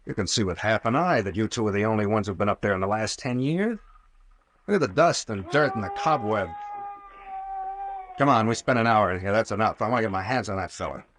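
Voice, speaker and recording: An actor playing a brash, sardonic captain and investigator with folksy slang in an old-time radio drama. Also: male voice, lowish voice, expressive, a little fast, noisy background, natural voice. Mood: brusque, wry. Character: gruff wisecracking sea captain playing armed investigator.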